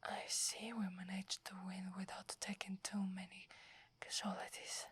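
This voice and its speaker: A woman with an Italian accent voicing a loyal, deferential young werewolf warrior in a breathy, hesitant ASMR whisper roleplay. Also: mid-range voice, little pitch movement, a little slow, a bit bright. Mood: weary, proud. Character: loyal, eager young female werewolf warrior devoted to her alpha.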